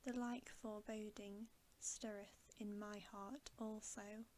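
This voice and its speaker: A woman voicing a thoughtful young king in a storybook, softly, with grave, formal, musing phrasing. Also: medium pitch, flat delivery, takes it slowly, slight background noise, lightly acted. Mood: musing, uneasy. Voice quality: soft, hushed.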